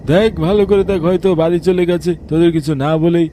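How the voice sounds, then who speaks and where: A man's voice: on the high side, steady tone, a little fast, warm tone, a little hiss or hum, pitched above the performer's own voice, lightly acted. A man voicing a worried, grave village elder in his sixties for a cartoon, urgent in manner. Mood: startled, urgent.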